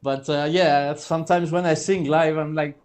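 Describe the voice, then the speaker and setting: A man's voice: fairly high pitch, fairly even intonation, moderate pace, a little mellow, clean tone. A man interviewing a singer, asking eager, filler-heavy questions over a video call, himself a singer. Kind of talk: casual interview chat.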